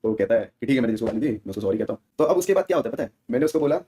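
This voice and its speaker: A man's voice: mid-range voice, lively intonation, rapid-fire, warm tone. A man speaking as a gaming streamer in a casual, slangy rant to viewers, often seeking their agreement. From India.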